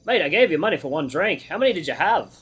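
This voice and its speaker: Man putting on a mate-ish Australian animal guy, raised pitch. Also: big pitch swings, fast talker, a bit bright, slightly rough, full character voice. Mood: concerned. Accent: attempted Australian.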